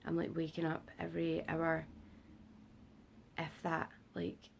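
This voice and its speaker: A young British woman talks to camera in a low, deep register, her voice heavily gravelly and rough from illness. She rambles through a casual update full of fillers, sounding worn out and short on sleep. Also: completely flat delivery, slow pace, slight background noise. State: unwell and sleep-deprived. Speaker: vlogger. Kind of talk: casual health update vlog.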